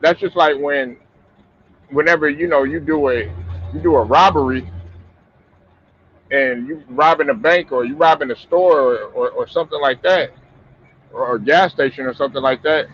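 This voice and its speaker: A man with a fairly high, stuffed-up voice talks sports in a loose, rambling way, restarting and repeating himself as he goes. The audio is muffled and lo-fi, with a faint hiss underneath. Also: normal speed, long pauses, a bit bright. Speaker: sports talk show host. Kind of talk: casual sports talk.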